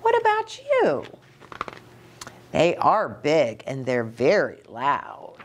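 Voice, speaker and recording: A middle-aged woman reading a picture book aloud to young children, animated, with chatty asides and questions for her listeners. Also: sits low, slow, a little hiss or hum. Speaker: children's ministry storytime host. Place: small indoor reading nook with bookshelf and curtains.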